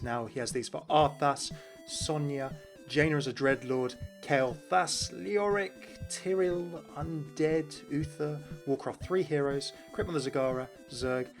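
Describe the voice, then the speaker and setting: A man hosting a gaming video with scripted lore narration. His voice is mid-pitched, with music playing faintly underneath.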